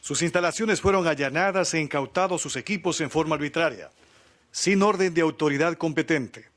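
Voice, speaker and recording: A man's voice: on the high side, fairly quick, fairly bright tone. A man, a channel representative reading a formal statement at a hearing. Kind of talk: formal prepared statement, read aloud.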